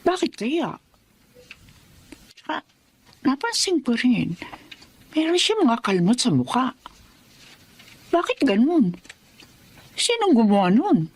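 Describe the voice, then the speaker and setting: A woman's voice: high-pitched, very animated, takes it slowly, pauses often, darkish tone, husky, full character voice. An actress playing a kindly, easily alarmed middle-aged mother in a Filipino drama. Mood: puzzled. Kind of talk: Filipino radio-style drama acting.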